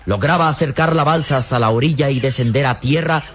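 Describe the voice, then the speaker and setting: A man's voice: mid-range voice, brisk, a little hiss or hum. A man narrating an old radio serial from a script, in a solemn, theatrical, declamatory manner. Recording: radio serial with prominent background music.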